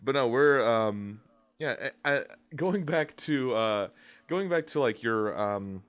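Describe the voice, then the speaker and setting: A man's voice: medium pitch, lively intonation, slow, smooth. A man in his twenties hosting a casual podcast, rambling and hesitant with many restarts as he asks his questions. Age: 20s. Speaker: podcast host.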